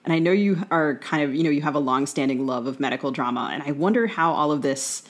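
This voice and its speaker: A middle-aged woman co-hosting a podcast, interviewing conversationally in a measured, wry, wordy manner. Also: low voice. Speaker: podcast co-host.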